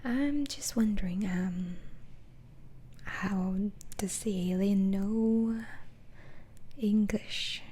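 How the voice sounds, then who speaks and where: A woman whispering softly and breathily in an ASMR roleplay as a gentle, reassuring doctor, asking questions in a hesitant way. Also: medium pitch, very slow, long pauses, slight background noise. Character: calm, reassuring asylum doctor questioning a patient.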